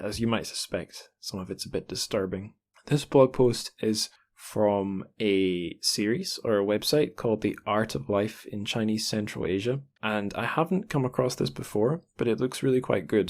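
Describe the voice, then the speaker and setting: Chatty Scottish man, lowish voice. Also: darkish tone. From Scotland. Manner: chatty, rambling asides. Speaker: podcast host. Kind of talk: casual podcast chat and intro.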